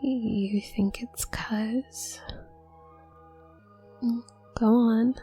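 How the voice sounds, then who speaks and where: Woman acting a shy, stammering, slow-spoken young witch. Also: a little high, fairly even intonation, dark tone, breathy edge, soft background music, full character voice. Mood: confused, hesitant. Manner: heavy nervous stammer, halting and hesitant. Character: shy, awkward, flustered young witch classmate, female college student. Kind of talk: roleplay audio drama addressed to the listener.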